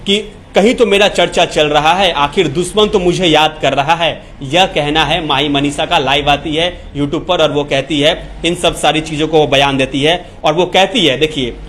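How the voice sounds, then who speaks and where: A man presenting entertainment news commentary off the cuff, addressing viewers with emphatic, repetitive phrasing and halting restarts. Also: a little high, fairly even intonation, fairly bright tone. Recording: clear background noise.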